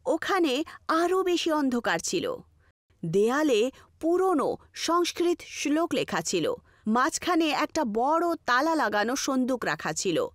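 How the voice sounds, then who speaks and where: A woman's voice: high-pitched, sing-song, unhurried, no room sound, studio quality. A woman narrating a scripted horror story.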